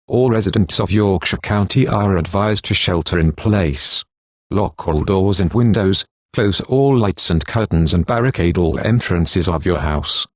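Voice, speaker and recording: Low, dry synthetic male alert announcer. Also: measured pace, no room sound. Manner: formal, synthetic delivery. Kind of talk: scripted emergency broadcast, text-to-speech.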